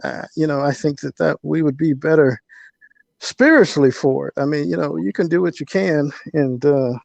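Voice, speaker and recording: A middle-aged man talking casually and reflectively as an interview guest, with halting phrasing, on lo-fi call audio. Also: on the high side, creaky, a little mellow, dry recording.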